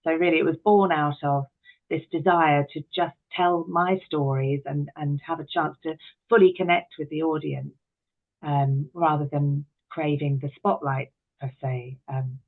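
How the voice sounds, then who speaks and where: A woman's voice: deep voice, steady tone, a little slow. A middle-aged British woman chatting candidly and with self-deprecation as an interview guest, reflecting on her own experiences. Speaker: podcast guest, actress, director and writer. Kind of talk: conversational interview, reflective personal anecdotes. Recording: thin phone-like call audio.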